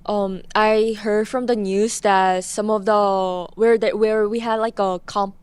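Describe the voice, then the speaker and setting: Female interviewee from Korea. Clean, smooth tone, no room sound, hesitant and thoughtful conversational delivery. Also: medium pitch, steady tone, a little slow, no room sound. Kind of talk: informal interview answer.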